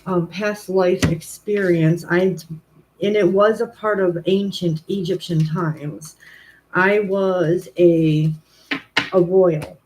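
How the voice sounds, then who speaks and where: A woman's voice: lowish voice, steady tone, slow, a little mellow, low-quality recording. Woman tarot reader, casual rambling chat, commanding tone, light background hiss. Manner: rambling, self-correcting, frequent "like" and "um".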